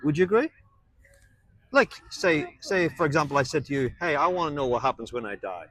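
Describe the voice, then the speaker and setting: Man, street evangelist, slightly high voice, earnest, keeps pressing with questions. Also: expressive, a little fast, fairly bright tone. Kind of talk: street conversation, preaching. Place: outdoors on a town street.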